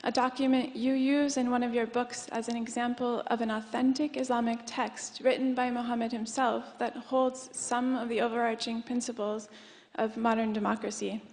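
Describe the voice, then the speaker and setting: A woman's voice: fairly high pitch, a little mellow. A young adult woman formally asking a prepared question from the audience. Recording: echoey lecture hall.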